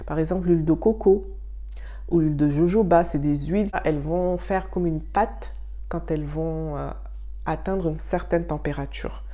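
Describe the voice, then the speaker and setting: A woman's voice: low voice, mellow. A young woman from Mayotte hosting a casual home tutorial, speaking straight to the viewer in a friendly way with frequent hesitations. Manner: friendly, addresses viewer directly, frequent "euh" pauses. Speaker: wellness channel host. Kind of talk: casual tutorial talking to camera.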